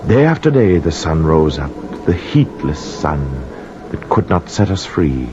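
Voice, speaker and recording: Cursed old sailor, intense slow storytelling, big swings in pitch, dull old 1940s radio sound. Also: male voice, sing-song, noisy background. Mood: grave, brooding. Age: older. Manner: intense, poetic, incantatory storytelling. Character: haunted, cursed old mariner urgently compelling strangers to hear. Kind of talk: 1940s radio drama acting.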